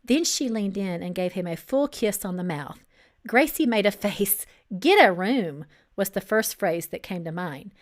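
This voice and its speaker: Animated woman narrating a novel. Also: mid-range voice, moderate pace. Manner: dramatic, expressive. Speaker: author reading own novel. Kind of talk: expressive storybook narration.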